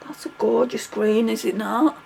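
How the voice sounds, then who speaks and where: A woman's voice: on the high side, steady tone, moderate pace, vocal fry, warm tone, a little hiss or hum. A middle-aged woman vlogging casually while doing her makeup, chatty and rambling, with a stuffy nose from a cold. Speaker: beauty vlogger.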